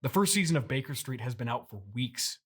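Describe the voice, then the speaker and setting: A man's voice: medium pitch, expressive, a little fast, a bit bright, slightly rough. A man with an American accent speaking casually and dryly in scripted comedic dialogue, as a company founder. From United States. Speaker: audio drama company founder.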